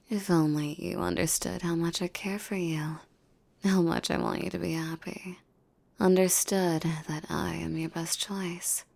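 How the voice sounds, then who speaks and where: A woman playing a possessive, menacing female demon in an intimate roleplay, speaking formally and commandingly, coaxing and often breathy. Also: fairly low pitch, a little mellow, heavily acted. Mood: teasing, possessive. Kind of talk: roleplay ASMR acting, intimate monologue.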